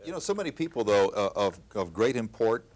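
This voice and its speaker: A man's voice: on the low side, big pitch swings, brisk, darkish tone. A man, a radio veteran, casually prompting colleagues to share stories in a group chat.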